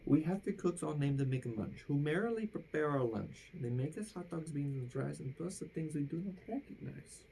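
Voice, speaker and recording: A woman reads a rhyming picture book aloud to theatrical effect. Her pitch moves widely and her voice has a noticeable creak. She draws out line endings, with faint noise behind her. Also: animated, mellow, rough recording. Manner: theatrical, drawn-out emphasis on phrase endings. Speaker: storybook reader.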